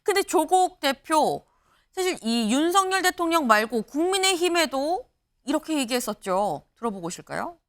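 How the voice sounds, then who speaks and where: A woman's voice: high voice, big pitch swings, moderate pace. A woman news anchor hosting a morning news talk show, chatty and laughing with her guests. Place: TV studio.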